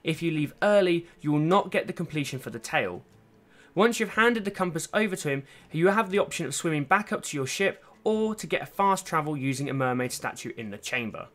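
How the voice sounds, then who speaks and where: A man's voice: a little high, expressive, a little fast, fairly bright tone. A man reading a scripted video game walkthrough as host, in an instructional, step-by-step way. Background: music under the voice.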